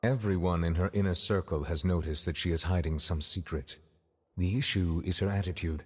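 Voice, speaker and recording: Deep, solemn, stately judge. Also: male voice, steady tone, breathy edge, long reverb tail. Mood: grave, resolute. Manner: formal, measured, deliberate wording. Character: solemn, stately male chief judge, grave and reserved. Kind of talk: video game voice acting.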